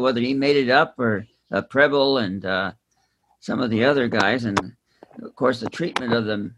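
A man speaking casually and haltingly in a book discussion. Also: mid-range voice. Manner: halting, hesitant delivery. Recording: clear background noise with clicks.

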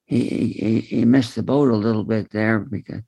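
Gravelly guy chatting in a group, stumbles over words, very dry sound. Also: on the low side, steady tone, warm tone, dry recording. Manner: halting, repeats words while searching. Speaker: book club participant. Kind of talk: casual group discussion.

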